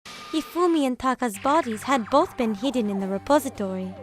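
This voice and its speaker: A woman's voice: fairly high pitch, expressive, brisk, faint music behind, natural voice. A woman playing a composed, authoritative young female student with formal, precise phrasing in an anime game fan dub. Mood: composed, assured.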